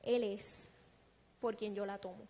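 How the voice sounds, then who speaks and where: A woman preaching a sermon in a deliberate, emphatic way, posing rhetorical questions. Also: mid-range voice, little pitch movement, warm tone. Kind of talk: sermon with scripture exposition. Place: large echoing church hall. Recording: long reverberant hall sound.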